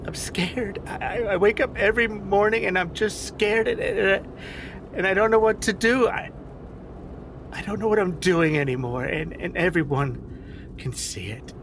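An insecure desk cop and father who is down on himself, in a naturalistic audio drama. He speaks haltingly and circles back over his words. There is distinct vehicle noise behind him. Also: high-pitched, fairly bright tone. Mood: anguished outburst, then defeated. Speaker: actor in a scripted audio drama.

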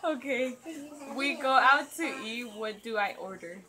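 A woman's voice: on the high side, unhurried, bright, forceful tone. A young woman from Mexico with a Mexican accent, playfully quizzing her husband on a living room couch and teasing him with lots of laughs. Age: young adult. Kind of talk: casual couple Q&A. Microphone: fixed camera mic.

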